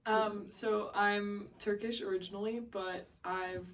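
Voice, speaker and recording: Flat-toned, hesitant young woman. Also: mid-range voice, takes it slowly. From Luxembourg. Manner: hesitant, many fillers. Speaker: interviewee. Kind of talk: casual interview answers. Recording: distant voice with faint background hum.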